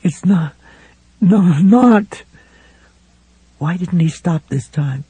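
A man's voice: high-pitched, expressive, slow, dark tone, breathy edge, no room sound, exaggerated voice. A man voicing a frightened, tearful young mother who pleads and frets. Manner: fretful, pleading, rising with fear.

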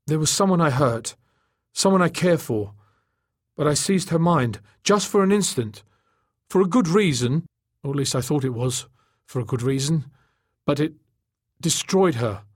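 A man's voice: on the high side, lively intonation, normal speed, dry recording, studio quality, voice pushed up. Guy doing a broken down doctor, weary n self-pitying, weak despairing delivery, audiobook. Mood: anguished, self-pitying. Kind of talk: audiobook character acting.

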